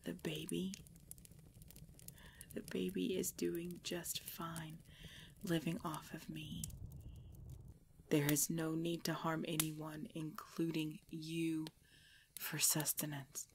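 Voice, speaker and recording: Woman acting a halting, creaky-voiced, tormented vampire woman. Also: low-pitched, little pitch movement, slight background noise, heavily acted. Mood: weary, tender. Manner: breathy, halting, often dropping to a whisper. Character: tormented, devoted pregnant vampire woman, self-denying. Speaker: voice actor in audio roleplay. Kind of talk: intimate ASMR roleplay acting.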